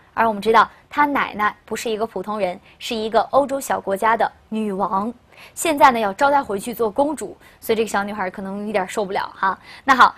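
High-voiced young woman teaching a lesson. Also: a bit bright. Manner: explanatory, addressing learners, emphasising key phrases. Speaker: English teacher. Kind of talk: scripted-style lesson presentation to camera. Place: indoor studio.

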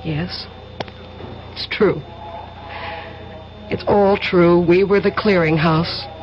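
An actress playing a remorseful, pleading smuggler in love, in a 1970s radio drama. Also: female voice, on the low side, fairly even intonation, takes it slowly, music in the background. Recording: dull old radio recording.

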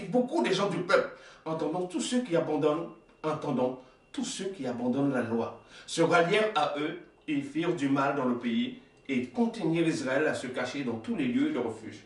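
A fairly high-voiced man in his forties preaches with emphasis, asking rhetorical questions and correcting himself now and then. His voice rings through a small, echoey room. He sounds a little distant from the microphone. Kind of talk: sermon reading and explaining texts. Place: small indoor worship room.

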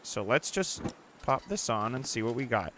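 Young man, casual gameplay commentary, chatty, swears a lot, mid-pitched voice, faint game ambience behind. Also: big pitch swings, moderate pace, slight background noise. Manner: chatty, reacts loudly, swears. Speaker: gaming channel host. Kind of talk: casual let's-play commentary.

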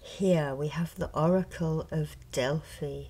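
A middle-aged woman, a reiki healer, speaking softly and slowly in a partly whispered show and tell, a little hoarse from a cold. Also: low-pitched, fairly even intonation. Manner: slow, soft, partly whispered. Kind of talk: soft-spoken ASMR show and tell. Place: indoors at a table. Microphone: close mic over a tabletop.

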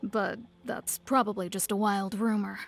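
A woman's voice: medium pitch, fairly even intonation, unhurried, vocal fry, faint music behind. A woman playing a composed young guild branch master in a video game, explaining matter-of-factly. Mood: composed, informative.

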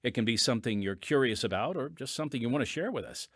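A man's voice: mid-range voice, lively intonation, fast, fairly bright tone, no room sound. A man hosting and narrating a scripted radio podcast, addressing listeners earnestly and directly. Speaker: podcast host. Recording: dry studio with music bed.

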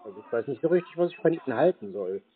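A man's voice: medium pitch, expressive, very fast. A man talking casually on the phone in a dry, sceptical, unhelpful manner. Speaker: scam-baiter taking a call. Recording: tinny, band-limited phone line.

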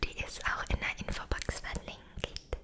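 A woman in her late twenties whispers to her viewers at an unhurried, slow pace. Her delivery is breathy, gentle and a little hesitant, in the manner of personal ASMR talk, recorded close up. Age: late 20s. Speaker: ASMR creator. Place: small indoor setup with dark backdrop. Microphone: two close microphones either side.

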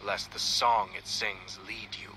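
A man's voice: lowish voice, fairly even intonation, a little slow, noisy background, close to an everyday voice. A man playing a suave, needling young noble. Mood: calm, needling. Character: composed young male noble commissioner, sly and polite. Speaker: clan commissioner confronting an official. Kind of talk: video game voice acting.

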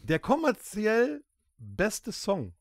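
High-voiced, animated man. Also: very slow, no echo, studio quality. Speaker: podcast host. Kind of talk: casual podcast chat, reading out rankings.

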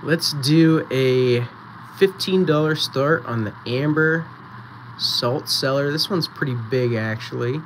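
A man hosting a live-streamed auction, chatting casually and counting down bids, with a voice going raspy. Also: medium pitch, slow pace, a little hiss or hum, poor audio. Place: small indoor photo booth setup.

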